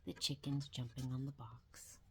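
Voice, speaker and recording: An older woman reading a children's picture book aloud in an expressive, deliberate manner, with dramatic questions and sound words. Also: a bass voice, breathy edge. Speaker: grandmother reading a storybook aloud.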